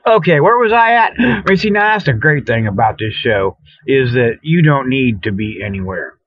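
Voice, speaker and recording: A man's voice: medium pitch, sing-song, normal speed, lo-fi sound. A man hosting a jokey talk show, rambling casually in a folksy, colloquial way. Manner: jokey, chuckling at own tall tales. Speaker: host of a comedic paranormal talk show. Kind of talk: rambling casual solo monologue.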